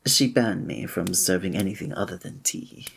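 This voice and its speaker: A man playing a flirty, breathy innkeeper. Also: deep voice, moderate pace, a bit bright, heavily acted. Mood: amused. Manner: formal old-fashioned wording with chuckling asides. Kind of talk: ASMR roleplay.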